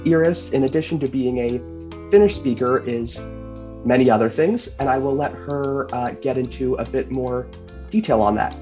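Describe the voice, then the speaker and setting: A man hosting a podcast, talking in a relaxed, chatty way. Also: mid-range voice, normal speed, highs cut off, soft background music. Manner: relaxed, chatty, uses fillers. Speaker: podcast host. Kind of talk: casual podcast chat.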